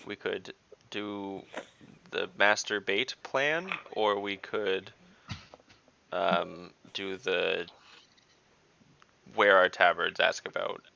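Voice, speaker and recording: A man's voice: low voice, fairly even intonation, extremely slow, vocal fry, pauses often, sharp tone, slight background noise. A man playing in an online tabletop game, thinking aloud hesitantly with ums and trailing into a mutter. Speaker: player. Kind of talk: casual tabletop game chat. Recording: dull online call audio.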